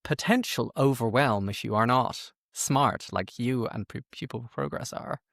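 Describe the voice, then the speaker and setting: A man hosting a conversational podcast interview, speaking in slightly halting, repeated phrases. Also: mid-range voice, creaky, a bit bright, no room sound, clean studio sound.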